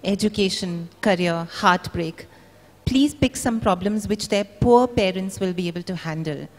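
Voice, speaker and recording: A woman's voice: medium pitch, measured pace. A woman giving a dramatic literary reading aloud at a live book event. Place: large indoor event venue. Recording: echoey hall.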